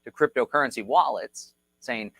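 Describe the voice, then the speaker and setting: A man hosting a casual livestream explainer, talking in an energetic, repetitive, rallying way. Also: mid-range voice, normal speed, vocal fry, breathy edge, no echo. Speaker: host. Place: Texas.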